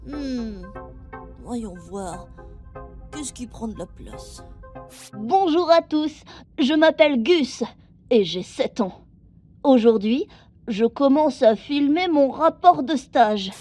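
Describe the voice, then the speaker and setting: A woman's voice: sits high, lively intonation, slow pace, pauses often, dark tone, faint music behind, exaggerated voice. A woman playing an earnest, weary seven-year-old intern in an audio drama. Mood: cheerful.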